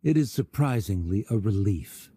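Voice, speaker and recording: A man playing a haughty, cold scholar in a video game, formal, measured and condescending. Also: on the low side, lively intonation, mellow, slight background noise, natural voice. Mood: disdainful. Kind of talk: video game character voice acting.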